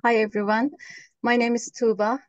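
A woman's voice: a little high, little pitch movement, normal speed, no room sound, dull audio. Earnest woman from Turkey, dry sound. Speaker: program co-leader. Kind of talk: webinar self-introduction. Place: wood-panelled room, indoors. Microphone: video call.

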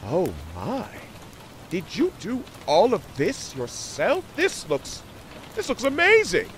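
A man voicing a loving, insecure teenage male beaver in a fan fiction reading, earnest and gentle. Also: high voice, huge pitch swings, slow pace, a little hiss or hum, full character voice. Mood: loving, impressed. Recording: music behind the voice.

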